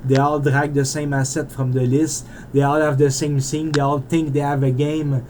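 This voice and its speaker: Rambling French Canadian man, mellow voice. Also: a little high, little pitch movement, normal speed, a little hiss or hum. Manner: rambling and self-interrupting, with frequent restarts. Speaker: indie game developer. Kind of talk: rambling casual monologue.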